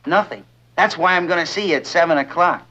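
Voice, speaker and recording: A man's voice: fairly high pitch, a little fast, sharp tone, lo-fi sound. A young man playing an eager, trusting teenage boyfriend with a breezy, emphatic manner in a vintage TV sitcom.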